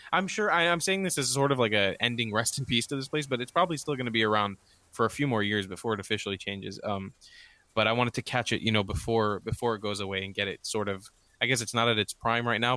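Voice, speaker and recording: A man's voice: lowish voice, sharp tone, dry recording. A man chatting informally and enthusiastically on a podcast, often correcting himself as he goes. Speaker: podcast guest or contributor. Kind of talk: casual podcast chat.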